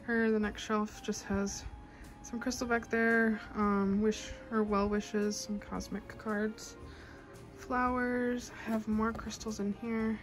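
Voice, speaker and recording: A young adult woman chatting casually as a vlogger in a bedroom at home. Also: fairly high pitch. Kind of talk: casual vlog chat.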